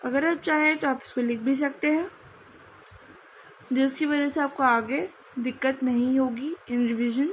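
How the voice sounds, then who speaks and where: A young Indian woman with a high voice teaches at an unhurried pace, spelling out letters and repeating sounds for her students. A faint background noise runs under the recording. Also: steady tone, rough recording. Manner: spells letters slowly and drills pronunciation. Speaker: French language teacher. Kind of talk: online lesson mixing Hindi and English.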